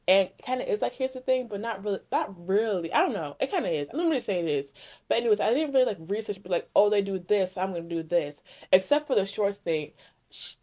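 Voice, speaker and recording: A woman's voice: medium pitch, darkish tone. A young woman from Connecticut hosting her own podcast, chatting casually through a solo Q&A and correcting herself as she rambles along. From Connecticut, USA. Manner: rambling, self-correcting, heavy use of "like". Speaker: podcast host.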